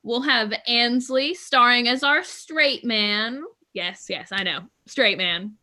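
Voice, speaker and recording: A woman's voice: on the high side, slow pace, sharp tone. A woman introducing an improv comedy game, explaining its roles in a chatty, upbeat way. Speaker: improv performer and game announcer.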